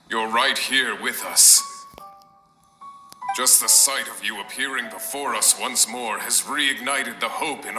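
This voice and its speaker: Actor as hopeful male samurai, mid-pitched, rousing, declamatory, roomy echo, music behind. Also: natural voice. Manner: earnest, declamatory. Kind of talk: video game dialogue acting.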